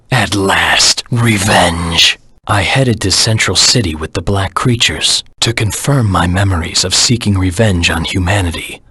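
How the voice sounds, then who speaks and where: Brooding video game antihero, very husky growl, hard-edged and forceful, terse, grim, menacing, vengeful. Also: male voice, low-pitched, no room sound, heavily acted. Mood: menacing, defiant. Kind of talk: video game voice acting, dramatic monologue.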